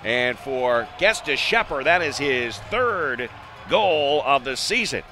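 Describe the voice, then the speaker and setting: An American man doing live radio-style play-by-play hockey commentary, measured in routine play and excitable on big plays. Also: mid-range voice. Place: indoor ice arena. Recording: noisy live rink broadcast.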